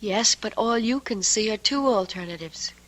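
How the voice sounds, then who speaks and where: A woman's voice: medium pitch, normal speed, close to an everyday voice. A woman playing a devoted, anxious and stubbornly proud wife in an old-time radio drama, speaking with earnest, emphatic emotion. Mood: defensive, earnest.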